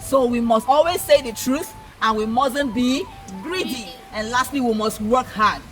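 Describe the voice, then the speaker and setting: A woman's voice: fairly high pitch, unhurried, a bit bright, soft background music. A woman with a Nigerian accent who tells folktales to children as an educator, repeating points and asking questions in a teacherly way.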